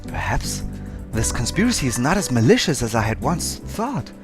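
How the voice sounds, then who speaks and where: A man's voice: mid-range voice, very animated, moderate pace, over music, close to an everyday voice. A man voicing an angsty, average teenage schoolboy, narrating a visual novel parody with mock-dramatic earnestness.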